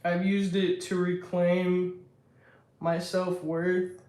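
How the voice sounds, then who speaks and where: Deep-voiced, unhurried young woman. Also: flat delivery, darkish tone. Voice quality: deep, strong voice. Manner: unhurried, reflective, self-assured. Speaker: singer and live streamer. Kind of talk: casual live-stream talk. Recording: echoey room.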